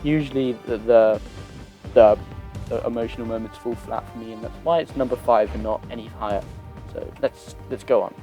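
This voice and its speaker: A man with a medium-pitched voice presents a casual gaming countdown over prominent background music. His delivery is halting and unscripted, with repeated words and sentences that trail away. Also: steady tone, warm tone. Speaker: host of a gaming video channel. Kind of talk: casual top-five list commentary, semi-improvised.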